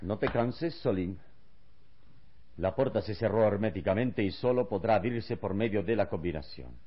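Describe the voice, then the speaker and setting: An actor playing a calm, wise adventure hero in an old radio serial, speaking with measured, solemn deliberation. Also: male voice, fairly low pitch, muffled recording, a little hiss or hum, natural voice. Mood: calm, reassuring.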